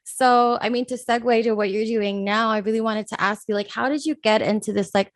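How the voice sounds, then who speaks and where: A woman's voice: fairly high pitch, steady tone, a little fast, dry recording, clean studio sound. A young woman hosting an interview, enthusiastic and bubbly in casual chat. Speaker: host.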